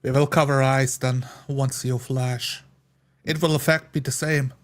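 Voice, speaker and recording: A man's voice: medium pitch, level tone, measured pace, close to an everyday voice. A man playing a solemn, frugal dwarf who is doubtful and speaks in formal, terse phrases. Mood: wary.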